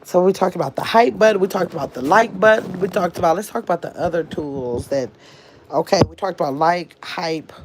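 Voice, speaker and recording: A woman with a nasal, somewhat low voice hosts a casual livestream. She chats loosely with her viewers, reading out their comments and teasing trolls as she goes. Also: a little fast, background noise. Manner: chatty, teasing, scolds trolls. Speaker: livestream host.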